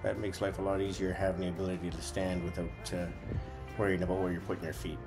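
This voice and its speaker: A man's voice: sits low, level tone, fast, music in the background. A man giving a casual, folksy running commentary as a vlog host, explaining as he goes.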